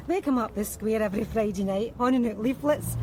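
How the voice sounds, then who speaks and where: A woman's voice: a little high, a little fast, noisy background, close to an everyday voice. An actress with a Scottish accent playing a blunt, wry woman who works the streets, in a radio drama. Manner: blunt, wry, matter-of-fact. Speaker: street sex worker questioned by police.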